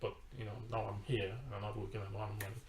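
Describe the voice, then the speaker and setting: A man's voice: fairly low pitch, level tone, racing, slight background noise. A pastor with a Trinidadian accent telling a personal testimony in conversation, emphatic and storytelling, sometimes repeating his words. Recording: roomy echo.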